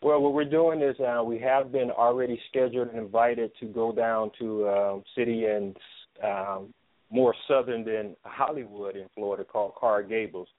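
A man answering interview questions as a theater producer, speaking haltingly and often repeating his words. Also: medium pitch, steady tone, no room sound. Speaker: stage play writer and producer. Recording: thin phone-line sound.

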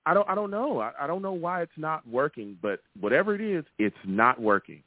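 Male sports talk host: lowish, swooping delivery, emphatic repetition, dry but slightly muffled stream audio. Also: very animated, fairly quick, no room sound. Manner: emphatic, repeats phrases for effect. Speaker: host of a live sports call-in show. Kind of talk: animated sports talk with callers.

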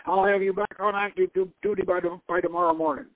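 A man's voice: high voice, fairly even intonation, quick pace, no room sound, phone-quality sound, voice pushed up, close to an everyday voice. A man playing a bullying, commanding government agent in a dramatic novel reading, barking his orders. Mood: threatening.

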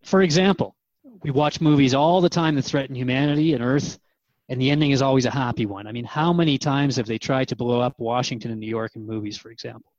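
A man's voice: mid-range voice, fast, darkish tone, no room sound, dull audio, lightly acted. A man playing an earnest, searching radio host in a drama, asking questions in a thoughtful, conversational, hesitant way. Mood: thoughtful, unsure. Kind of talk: radio drama interview dialogue.

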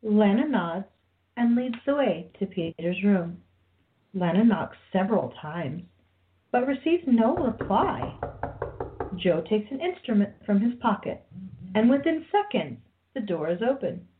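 A woman's voice: mid-range voice, big pitch swings, a little slow, a little hiss or hum. A woman reading scripted narration for a radio play. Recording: tinny phone-like sound, faint music behind.

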